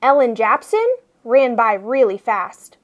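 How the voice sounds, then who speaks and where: Woman, children's read-aloud, high-pitched, slow pace, expressive, emphatic storytelling. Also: dull audio. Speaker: storybook reader.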